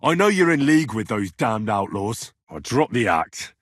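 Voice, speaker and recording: Young, blunt medieval adventurer, husky and expressive. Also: male voice, mid-range voice, moderate pace, no room sound, clean studio sound. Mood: exasperated, demanding. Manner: earnest, blunt, exasperated, conversational. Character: young, plain-spoken medieval adventurer, wry and impatient. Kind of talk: video game dialogue acting.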